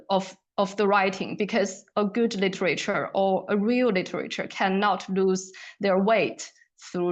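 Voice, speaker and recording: Woman author, native Chinese speaker with Chinese accent, mid-pitched, earnest and deliberate in literary discussion. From China. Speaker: author guest.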